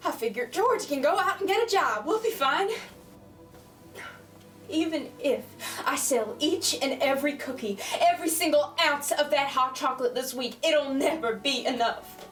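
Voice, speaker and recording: An echoey, distant recording of a high-pitched teenage girl acted by a woman in a holiday radio drama. She is the embittered eldest sister carrying too much, scolding and sarcastic. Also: expressive, moderate pace. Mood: despairing. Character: embittered, overburdened teenage eldest sister in wartime West Virginia. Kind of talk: holiday radio play acting. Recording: echoey room, distant mic, background music, noise.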